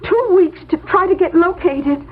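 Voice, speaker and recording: An actress playing a timid, plaintive young secretary in 1970s radio drama style, on a muffled old recording. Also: female voice, extremely high pitch, expressive, moderate pace. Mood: tearful, frightened. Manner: hesitant, plaintive.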